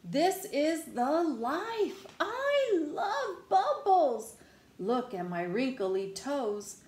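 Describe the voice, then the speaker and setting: A woman's voice: on the high side, big pitch swings, slow pace, darkish tone. A woman voicing a stubborn, defensive, dramatic pigeon from a children's picture book, stretching words with exclamatory mock indignation. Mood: delighted. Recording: roomy indoor echo.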